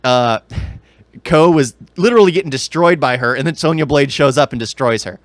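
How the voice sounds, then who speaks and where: A man with a mid-pitched voice talks casually as a podcast co-host. He gets keen on details and circles back to rephrase what he just said. The sound is dry and close. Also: a little fast, no room sound. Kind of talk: casual movie chat.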